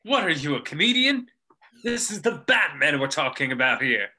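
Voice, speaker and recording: Man acting agitated old crime boss, wildly swinging pitch. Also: big pitch swings, normal speed, sharp tone, slightly rough, full character voice. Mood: agitated, bitter. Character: aging, agitated, sardonic male crime boss. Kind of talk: dramatic table read of animated film script.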